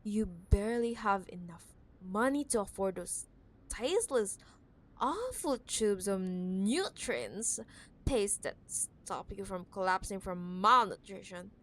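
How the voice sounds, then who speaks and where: A woman acts out a rich, possessive cyberpunk CEO toying with her ex in an intimate audio drama. She talks slowly at a medium pitch, stretching words for emphasis. Her manner is teasing, condescending and full of scorn. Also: animated, fairly bright tone. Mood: exasperated, scornful. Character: possessive, condescending wealthy female CEO ex-girlfriend in cyberpunk city. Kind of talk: ASMR audio roleplay, intimate dramatic monologue.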